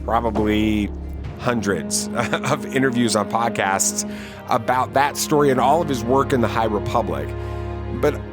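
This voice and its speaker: A man's voice: mid-range voice, a little slow. A man hosting a podcast, narrating in a prepared, presenter style. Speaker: podcast host. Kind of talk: podcast narration and sponsor read. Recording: prominent music under the voice. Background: loud guitar music.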